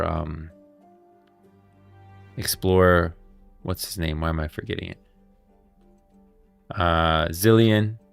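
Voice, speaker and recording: A man's voice: sits low, vocal fry, smooth. A man streaming casual commentary, rambling and thinking aloud as he goes. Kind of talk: casual game lore commentary. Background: background music.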